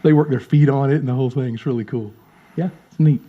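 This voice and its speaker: A man's voice: medium pitch, steady tone, fast, phone-quality sound. A drummer in his late fifties, chatting as a guest in a casual interview, friendly and rambling, often repeating words. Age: 50s.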